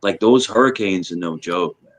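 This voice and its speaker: Restless chatty man from New York. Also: deep voice. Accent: Virginia. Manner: talks in quick bursts, restarts, shifts between two accents. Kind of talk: casual chat.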